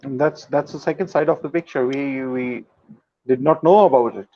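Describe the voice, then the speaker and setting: A man's voice: medium pitch, moderate pace, a little mellow. Hesitant male host on dull lo-fi audio. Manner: hesitant, filler-heavy, frequent "hmm". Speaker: interview host. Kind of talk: casual interview questions.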